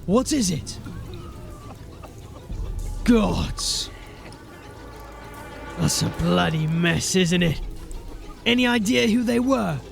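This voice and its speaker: A man acting a gruff, authoritative sergeant of a city watch in a fantasy audio drama, with clipped speech. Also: high voice, extremely slow, long pauses, soft background music, exaggerated voice. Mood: grim.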